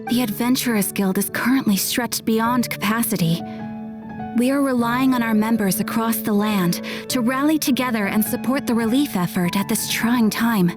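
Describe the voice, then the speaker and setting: A woman voicing a composed young female guild receptionist in a video game, polite, measured and formal. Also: fairly high pitch, close to an everyday voice. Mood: calm. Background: game music.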